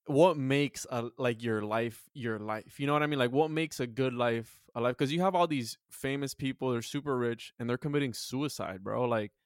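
A man in his twenties hosting a podcast, talking earnestly and philosophically with many halting restarts. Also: mid-range voice, no room sound, clean studio sound. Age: 20s. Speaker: podcast host. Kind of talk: casual podcast chat.

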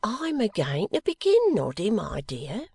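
A woman voicing a warm-hearted, delighted old working-class wife in a classic novel reading, with folksy, exclamatory speech. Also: medium pitch, huge pitch swings, a little slow, creaky, breathy edge, no room sound, studio quality, voice pushed up, full character voice. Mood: joyful, bubbling.

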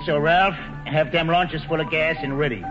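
An actor playing a cocky, slangy American gangster boss who talks breezily and pushily, in 1940s radio drama style. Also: male voice, on the high side, normal speed, lightly acted. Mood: cocky, breezy. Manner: breezy, pushy, wheedling talk in gangster slang. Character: slangy, cocky male bootlegger gang boss. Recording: dull vintage radio broadcast, reverberant, faint music.

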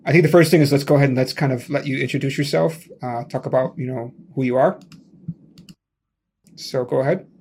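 A man's voice: medium pitch, fairly even intonation, fairly quick, a little mellow, slight background noise. Chatty man hosting a tech interview. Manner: loose and chatty, full of "like" and restarts. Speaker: podcast host.

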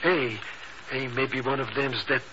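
An actor playing an excitable, greedy, dim sidekick who chatters and stammers eagerly in a 1950s radio drama. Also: male voice, mid-range voice, measured pace, close to an everyday voice. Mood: eager, curious. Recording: muffled, noisy early-1950s radio recording.

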